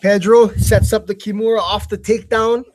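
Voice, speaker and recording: A man's voice: high voice, little pitch movement, moderate pace, no echo. A man giving casual, slangy fight analysis with vivid, sometimes stumbling phrasing. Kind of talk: casual technical breakdown.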